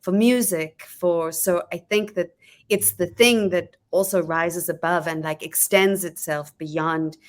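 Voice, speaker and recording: A woman's voice: fairly low pitch, steady tone, unhurried. A middle-aged woman with a South African accent, speaking thoughtfully as a panelist in a discussion. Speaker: panelist, scholar. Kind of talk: panel discussion, considered talk.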